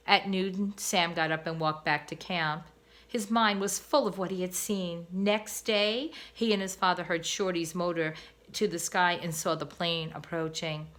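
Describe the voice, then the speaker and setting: A woman's voice: on the low side, a bit bright. A woman teacher reading a children's novel aloud, careful with small slips. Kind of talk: storybook read-aloud.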